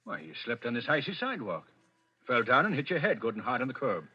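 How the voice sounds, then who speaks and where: An actor playing a gruff, bossy, practical policeman in a 1940s radio drama, speaking in clipped everyday phrases. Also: medium pitch, big pitch swings, fast. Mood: matter-of-fact. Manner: barking orders, clipped everyday speech. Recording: muffled old 1940s radio recording.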